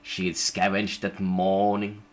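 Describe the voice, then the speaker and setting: A man reading an illustrated storybook aloud in an even, gentle narration. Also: low-pitched, slow.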